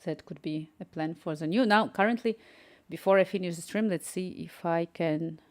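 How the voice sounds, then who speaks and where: A young woman with a strong Ukrainian accent talks her viewers through an app build, thinking aloud as she works. Her voice sits a little low, and she reads chat and types prompts between remarks. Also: moderate pace. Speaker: live-coding streamer. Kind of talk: casual live-stream commentary while coding.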